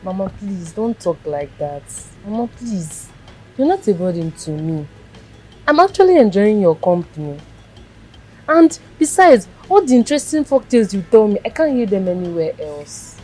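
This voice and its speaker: An actress playing a caring teenage granddaughter, gentle and reassuring. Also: female voice, medium pitch, sing-song, normal speed, breathy edge, poor audio. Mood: tender. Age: teens. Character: devoted young granddaughter, caring student.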